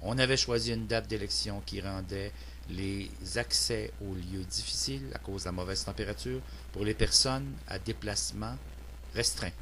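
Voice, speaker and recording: A man's voice: fairly low pitch, moderate pace, fairly bright tone, slight background noise. A man with a Québécois French accent delivering a prepared political opinion monologue in a formal, earnest, declamatory manner. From Quebec, Canada. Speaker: citizen political commentator.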